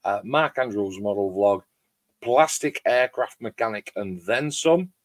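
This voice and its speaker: A middle-aged man hosts a relaxed, rambling livestream chat. His voice is loud and fairly low. The sound is dry, with very little room echo. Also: measured pace. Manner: rambling, chatty. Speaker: host of a hobby club livestream. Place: home garage workshop shed.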